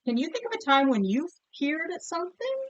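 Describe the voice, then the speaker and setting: A woman's voice: high-pitched, very animated, a little fast, dull audio. A woman teacher leading a read-aloud vocabulary lesson with children, encouraging and questioning, enunciating words for pupils to repeat.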